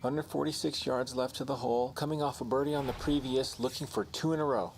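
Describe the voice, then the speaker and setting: Guy doing on-course golf reporter for a game, matter of fact, medium pitch, noise behind him. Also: steady tone, brisk, close to an everyday voice. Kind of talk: broadcast golf commentary.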